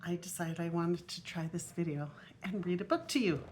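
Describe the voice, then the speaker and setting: Older woman, low voice, reading a storybook. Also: normal speed, a little mellow. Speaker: grandmother reading to grandchildren. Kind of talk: storybook read-aloud for young children. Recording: faint noise on homemade video.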